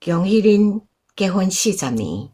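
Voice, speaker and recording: An actress playing a gentle older grandmother in a radio drama, with a measured manner. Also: medium pitch, big pitch swings, slightly rough. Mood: polite.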